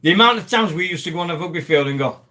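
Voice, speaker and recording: A man's voice: fairly high pitch, expressive, racing, pushed and bright. A man from Sheffield, a former rugby league player hosting a rambling, casual live stream and greeting viewers from the chat. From Sheffield, England.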